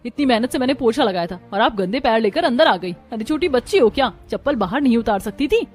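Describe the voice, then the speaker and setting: A woman's voice: on the high side, animated, fast, a little mellow, husky. A woman voicing a feisty, sarcastic young Indian daughter-in-law in a moral story cartoon, with a sassy, cutting, indignant manner. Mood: angry. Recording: music behind the voice. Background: background music.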